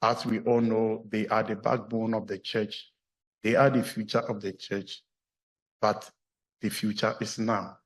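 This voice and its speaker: A man from Ghana with a Ghanaian accent, a clergyman giving a measured, earnest formal address at a church meeting. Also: fairly low pitch, little pitch movement.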